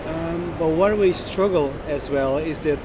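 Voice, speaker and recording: A man from Portugal with a Portuguese accent, explaining things as a dermatologist being interviewed, often pausing mid-sentence. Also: fairly high pitch. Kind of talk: conversational interview answers. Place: congress exhibition floor. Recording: loud busy congress-floor noise.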